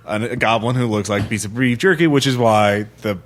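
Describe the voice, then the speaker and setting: A man's voice: mid-range voice, a little fast. A man hosting a podcast discussion, speaking informally and often correcting himself mid-thought. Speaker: podcast host. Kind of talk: casual discussion.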